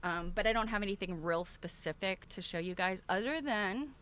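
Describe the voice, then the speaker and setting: A woman's voice: medium pitch, expressive, moderate pace, a bit bright, slight background noise. A woman chatting casually to regular viewers as a livestream host, rambling along in a conversational way. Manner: chatty, rambling, often breaks into laughter.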